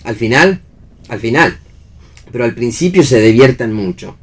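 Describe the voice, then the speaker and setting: A male actor voices an affectionate young Argentine husband in a read stage play. His humour is wry, and his mid-pitched voice moves in big, lively swings. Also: moderate pace, husky. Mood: wry banter.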